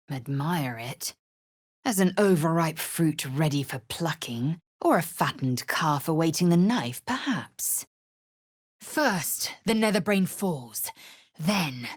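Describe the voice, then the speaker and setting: An actress playing a fierce, proud alien warrior woman in a video game, with a raspy voice and curt, imperious, formal diction. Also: deep voice, no echo, clean studio sound, heavily acted. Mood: cold, grim.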